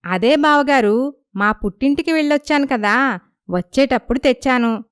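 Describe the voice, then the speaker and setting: A woman's voice: sits high, animated, moderate pace, clear, resonant tone, no room sound, studio quality. A woman voicing a coaxing, resentful younger village sister-in-law in a moral story, with a complaining manner. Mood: wheedling.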